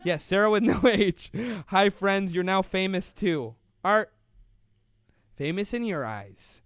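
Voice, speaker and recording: A man's voice: high voice, takes it slowly, a bit bright, no echo. A young man hosting a casual live online show, rambling and playful, laughing often as he reads out viewer comments. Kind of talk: casual live-stream chat.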